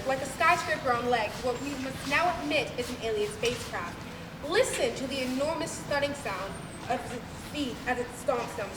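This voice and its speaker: Young woman as an excitable, high-voiced radio reporter. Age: young adult. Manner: breathlessly dramatic, hesitant when flustered. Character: earnest, excitable, flustered radio news reporter. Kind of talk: comic old-time radio broadcast acting. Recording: distant, echoey stage, noisy room.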